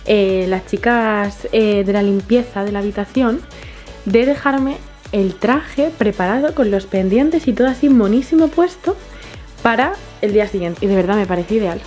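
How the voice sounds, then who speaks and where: A young woman in her twenties chats breezily to a camera held at arm's length, bubbly and full of little fillers. Her pitch sits mid-range for a woman, her nose a bit blocked by allergies. Soft music plays faintly underneath. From Spain. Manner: chatty and enthusiastic, full of fillers and little diminutives. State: stuffy from allergies. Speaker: vlogger. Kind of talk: casual vlog chat to camera. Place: hotel room and outdoors in a city. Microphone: handheld camera at arm's length.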